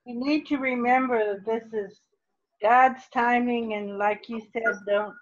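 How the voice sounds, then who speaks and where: A woman's voice: fairly high pitch, steady tone, a little slow, muffled recording. A woman parent in a group meeting, hesitant, hopeful and devout.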